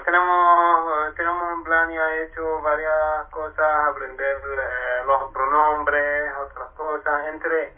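A man from Palestine talks as a guest on a radio show. His speech is hesitant, with many fillers and restarts. His voice sits a little high and sounds narrow and tinny, like a phone line. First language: Arabic. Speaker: guest, Arabic teacher. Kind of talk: radio interview.

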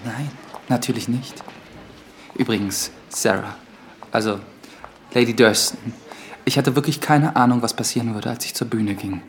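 A shy priest acted by a man speaks at a middle pitch in a polite, earnest way. He falters and stammers over his words, with background noise around him. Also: normal speed, pauses often, lightly acted. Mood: earnest, awkward. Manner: hesitant, stammering, formally polite. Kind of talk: German radio drama acting. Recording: loud background noise of hall.

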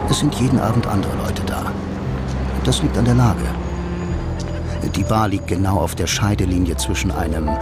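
Low-voiced, laconic noir loner. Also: male voice. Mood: wry, reflective. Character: blind lonely bank clerk, film-noir romantic, dry and wistful. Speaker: first-person narrator and lead. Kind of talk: radio play narration, noir monologue.

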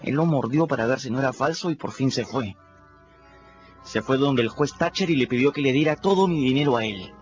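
A plucky, sly runaway boy, voiced by a man tells his own story in a radio play, in a mid-pitched, lively narrating voice. The recording sounds dull and lo-fi, with clear music running underneath. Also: a little fast, close to an everyday voice. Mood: telling. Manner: storytelling in first person, lively, pleading in scenes. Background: clear music bed.

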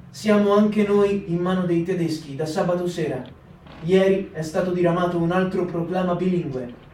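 A man giving a measured, formal performed reading of a diary for a radio theatre piece. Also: high voice, darkish tone, echoey room, distant mic, slight background noise, low-quality recording. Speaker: reader.

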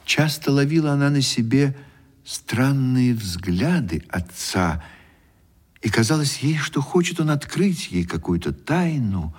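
An actor playing a sympathetic storyteller, narrating a literary radio drama in a measured, expressive way. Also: male voice, mid-range voice, husky. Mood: sympathetic, wistful. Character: sympathetic male storyteller of a sad tale. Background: soft guitar music.

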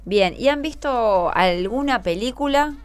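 A woman's voice: on the high side, lively intonation, a little slow, clear, resonant tone. An Argentine woman hosting a radio interview, prompting and encouraging with short follow-up questions. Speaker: radio host interviewing schoolchildren. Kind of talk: guided question-and-answer interview. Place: community radio programme. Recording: music playing behind the voice. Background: music.

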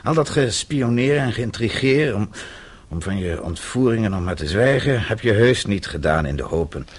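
Deep, gravelly-edged defiant captive. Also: male voice, animated. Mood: defiant, scornful. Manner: sardonic, blunt, halting at times. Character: defiant, weary captive businessman, older father. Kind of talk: Dutch radio drama acting.

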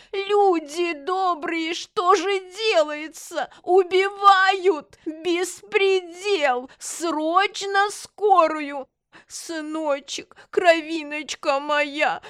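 A shameless elderly mother draws out her words in a high, theatrical lament. She is a melodramatic swindler from an audiobook, speaking slowly and pitifully. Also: clean tone, no echo, studio quality. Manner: wailing, drawn-out, theatrical.

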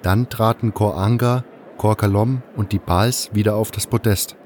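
Male narrator for a fantasy radio play: lowish voice, storytelling in first person, faint ambient noise underneath. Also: fairly even intonation, normal speed, darkish tone. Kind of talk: scripted audio drama narration.

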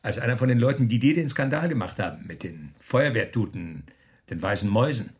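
A man acting in a German radio play as a smug, menacing officer who taunts a prisoner with mocking, drawn-out questions. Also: medium pitch, lively intonation, darkish tone, lightly acted. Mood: taunting, amused.

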